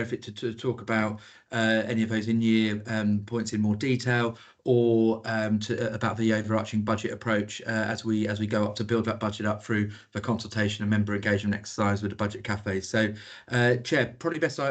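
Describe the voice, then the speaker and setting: A man presenting a council budget update at a committee meeting, with many fillers and restarts. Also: fairly low pitch. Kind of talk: formal meeting report.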